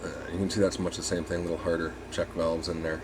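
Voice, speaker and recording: A man's voice: low voice, little pitch movement, quick pace, heavy background noise. A man giving a casual hands-on repair demonstration, rambling and thinking aloud as he works. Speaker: RV repair technician presenting a tutorial.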